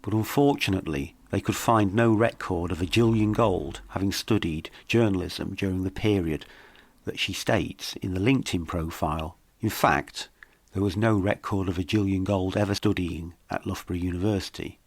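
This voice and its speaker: A man reading scripted mystery narration in a measured, dramatic storytelling manner. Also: sits low, no echo. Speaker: documentary podcast narrator.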